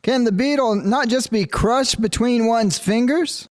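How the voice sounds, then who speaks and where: A man's voice: very high-pitched, measured pace. A man playing a worried, questioning potato farmer in an amateur historical drama. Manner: questioning, concerned.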